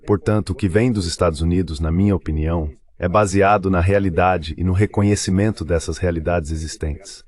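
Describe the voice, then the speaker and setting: Male interview dub, Brazilian Portuguese accent, low-pitched, measured and articulate, formal political commentary. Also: steady tone, a little mellow, dry recording, clean studio sound. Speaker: dubbed translation voice of an interview guest. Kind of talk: translated political commentary, read voice-over.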